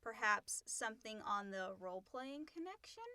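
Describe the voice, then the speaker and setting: A woman's voice: a little high, animated, normal speed, pushed and bright, no room sound. A woman co-hosting a casual podcast Q&A, reading listener questions aloud and chatting about them.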